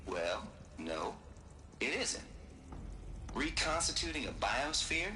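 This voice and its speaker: A man's voice: medium pitch, big pitch swings, slow pace. An actor playing a wry male scientist giving a folksy, lecturing recorded message in a video game. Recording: game audio over music.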